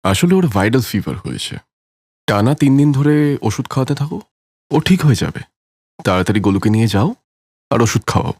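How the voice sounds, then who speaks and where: A man's voice: mid-range voice, sing-song, a little fast, pauses often, a little mellow, breathy edge, no room sound, clean studio sound. A man playing a friendly, matter-of-fact village doctor in a cartoon story. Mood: friendly, reassuring.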